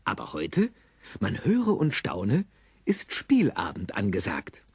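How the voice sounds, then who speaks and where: A man's voice: fairly low pitch, huge pitch swings, slow, husky, no room sound, studio quality. A man narrating a children's audio drama with theatrical, wry storytelling.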